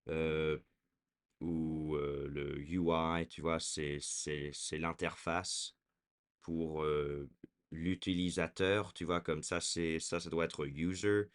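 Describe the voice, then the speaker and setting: A man's voice: rumbling low, little pitch movement, takes it slowly, smooth. A man in his twenties talking casually to a camera, hesitant, with frequent fillers and restarts. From raised in America. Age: 20s. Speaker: vlogger.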